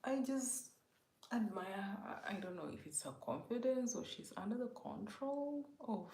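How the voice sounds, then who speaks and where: Slow, husky-edged Ugandan-born woman streaming. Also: mid-range voice, animated, reverberant. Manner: slow, soft-spoken, greets viewers by name, often whispery. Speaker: livestream host. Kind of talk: casual livestream chat reading comments.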